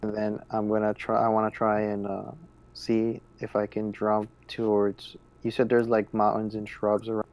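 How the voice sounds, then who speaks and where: Flat, creaky, low-voiced man. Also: moderate pace. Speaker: player in tabletop roleplaying game. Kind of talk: casual game chat.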